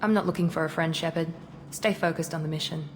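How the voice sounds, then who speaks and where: Cool guarded lady operative from a video game, lowish voice, clipped n proud, noisy background. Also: fairly even intonation, fast talker. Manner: clipped, assertive, formal. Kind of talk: video game dialogue acting. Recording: noisy game audio with ambience.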